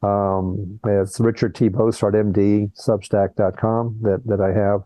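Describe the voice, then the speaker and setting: A man's voice: on the low side, little pitch movement, unhurried, dark tone, no echo, poor audio. An older man who is a plastic surgeon, talking earnestly in a conversational interview over a video call and often restarting his words. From born in Colombia, raised in Brazil and the US.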